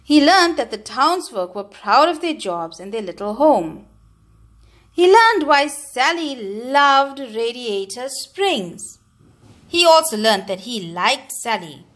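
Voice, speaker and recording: A woman reading a children's storybook aloud, with expressive, deliberate and emphatic phrasing. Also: on the high side. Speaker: storybook reader.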